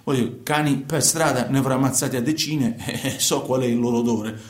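A man's voice: mid-range voice, fairly even intonation, natural voice. Guy acting guilty trucker in a radio play, southern italian accent, rambling excuses, repeats himself, roomy echo. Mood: uneasy, explaining. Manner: halting, repetitive, defensive, colloquial. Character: guilty truck driver confessing, self-justifying, agitated, coarse. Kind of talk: radio theatre monologue, colloquial confession. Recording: echoey room.

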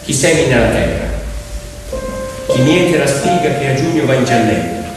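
A man telling a story theatrically as a stage actor, with dramatic, drawn-out phrasing. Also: medium pitch, lively intonation. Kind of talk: theatrical narrative storytelling with piano. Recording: very echoey hall, distant, loud music. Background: loud piano music.

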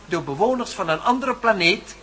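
A man's voice: high voice, lively intonation, moderate pace, pushed and bright, slight background noise. Een man die in een hoorspel een bevlogen, vastberaden professor speelt, formeel en nadrukkelijk declamerend. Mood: solemn, declaiming. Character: impassioned, determined male professor championing a revolutionary theory. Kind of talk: Dutch radio play acting.

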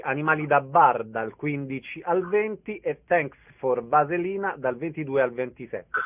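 A man, a radio interviewer giving listings and sign-offs. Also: fairly high pitch. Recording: narrow-band radio sound.

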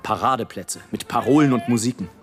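A man's voice: mid-range voice, fast, close to an everyday voice. Man acting a grandiose, declamatory balloonist over music. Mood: brisk, sardonic. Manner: declamatory, grandiose, swinging between exultation and hush. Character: rapturous, scornful airship balloonist surveying mankind from above. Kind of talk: theatrical radio play monologue. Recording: studio radio play with music.

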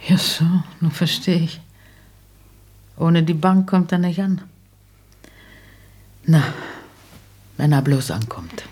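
Very husky, homely old mother. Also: low voice, lively intonation, slow pace, pauses often, darkish tone, full character voice. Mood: puzzled. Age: older. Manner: homely, hesitant, wondering. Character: simple, doubtful old mother, homely and plainspoken. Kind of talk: German literary radio play acting.

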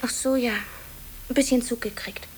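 Woman acting a young secretary, touchy n evasive, highish voice, noisy crackly old radio play. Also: steady tone, normal speed, natural voice. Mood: touchy, upset. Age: young adult. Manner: subdued, evasive, defensive. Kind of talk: 1950s German radio drama acting.

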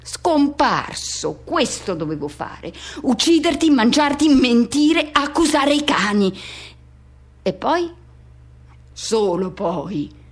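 An actress performing a radio theatre monologue as an anguished person haunted by a lost love, speaking intensely in short, broken, repeated phrases. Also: female voice, high-pitched, big pitch swings, slow pace, exaggerated voice. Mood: bitter, intense.

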